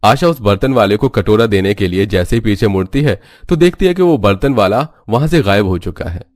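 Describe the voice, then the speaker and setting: A man serves as narrator of a cartoon folk tale. His voice is on the low side. He reads scripted narration in a dry, echo-free recording. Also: expressive, fairly quick, a little mellow. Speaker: storyteller, narrator.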